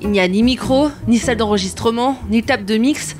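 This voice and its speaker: A woman's voice: on the high side, fairly quick, over music, close to an everyday voice. A woman playing a laid-back start-up colleague in a comedic audio drama, casual and unbothered. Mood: nonchalant. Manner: casual, nonchalant.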